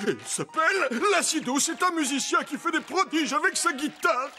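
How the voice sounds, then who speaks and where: An actor playing an eager, servile royal servant in a cartoon. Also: male voice, very high voice, lively intonation, normal speed, pushed and bright, heavily acted. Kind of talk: cartoon voice acting. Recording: music behind the voice.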